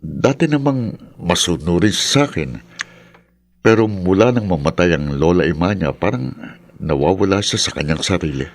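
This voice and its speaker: An older Filipino dad in a radio play, with a notably low voice. He is earnest and measured, a gentle parent full of concern. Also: lively intonation, gravelly, dry recording, heavily acted. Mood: worried, pleading. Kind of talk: radio drama acting.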